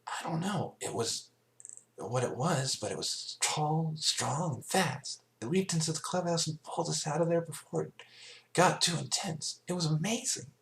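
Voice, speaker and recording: Man putting on an excitable high-pitched little filly. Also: moderate pace, creaky, reverberant. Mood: awestruck. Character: earnest, excitable young filly child pony.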